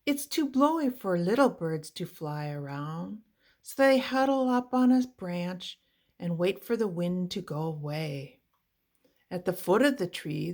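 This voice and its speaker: A woman reading a children's picture book aloud with deliberate, expressive phrasing for young listeners. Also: on the low side. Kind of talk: read-aloud children's storybook narration.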